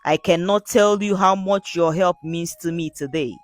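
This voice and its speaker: A woman's voice: on the low side, moderate pace, soft background music, close to an everyday voice. A woman voicing a sincere, hardworking village woman in a folktale, with heartfelt, formal phrasing. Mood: grateful.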